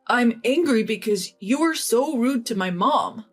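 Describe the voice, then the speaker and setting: A woman's voice: a little high, expressive, unhurried. A woman voicing a fed-up young husband in a dramatized family story, serious and reproachful. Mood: angry, reproachful. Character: fed-up young husband, quietly angry with his bride.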